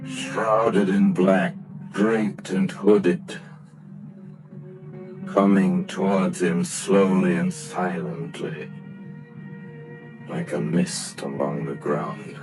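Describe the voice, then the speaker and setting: A man narrating an old-time radio drama in a solemn, measured voice. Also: low-pitched, steady tone, music in the background, poor audio.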